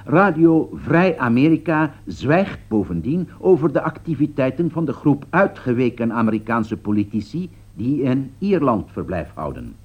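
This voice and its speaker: An actor playing a solemn political commentator in a radio play, delivering formal, rhetorical commentary with foreboding gravity. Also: male voice, medium pitch, sing-song, normal speed, breathy edge. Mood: grave, foreboding. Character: grave male radio political commentator foretelling catastrophe. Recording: dull, lo-fi radio play recording.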